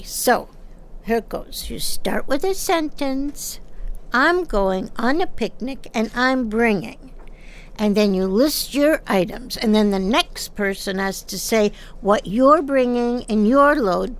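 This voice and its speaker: An older woman acting in an audio drama as a chatty, nosy seamstress, wistful and teasing, with a rambling, halting way of talking. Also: a little high, low-quality recording.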